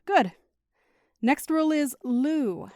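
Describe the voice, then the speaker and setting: A firm, protective mother in an audio drama. The voice is fairly high and dryly recorded, giving instructions with patient, teasing authority. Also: expressive, slow pace, a little mellow, dry recording, studio quality, full character voice.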